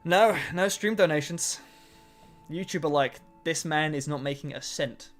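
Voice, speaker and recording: A man's voice: on the high side, a little slow, soft background music. A man in his twenties chatting casually on a livestream, answering chat and joking at his own expense. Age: 20s. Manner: chatty, self-mocking, answers chat between tasks. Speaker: streamer.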